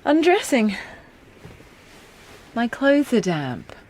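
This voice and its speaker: A woman's voice: a little high, huge pitch swings, very slow, darkish tone, a little hiss or hum, heavily acted. An actress playing a frank, feisty, wounded young woman in love in a naturalistic radio drama, blunt and teasing in manner.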